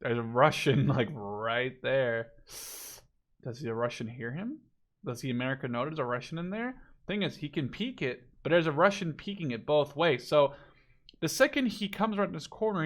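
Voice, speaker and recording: A man's voice: a little high, fairly bright tone. A man giving casual live commentary on a video game match, thinking aloud as he watches.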